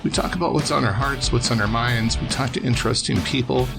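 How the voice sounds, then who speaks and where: A man's voice: mid-range voice, fast, creaky, over music. A man from Wisconsin hosting a casual interview podcast, easygoing and chuckling as he asks follow-up questions. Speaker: podcast host.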